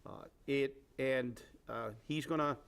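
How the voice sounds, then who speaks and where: A man hosting a local talk show, speaking haltingly with many fillers and restarts. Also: medium pitch, steady tone, vocal fry, a bit bright, smooth. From Fall River, Massachusetts. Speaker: host.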